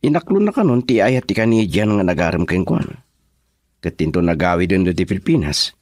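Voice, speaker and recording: A man playing a lovelorn young man in a radio drama, speaking softly with a yearning tone. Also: sits low, lively intonation, a little fast, dry recording, clean studio sound. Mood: earnest. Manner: soft, hushed, yearning delivery. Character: lovelorn young man pining for his sweetheart.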